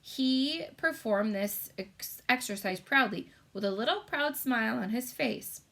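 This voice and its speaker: Woman, children's read-aloud narration, expressive, higher-pitched, crisp bright tone. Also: a little slow. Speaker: storybook reader.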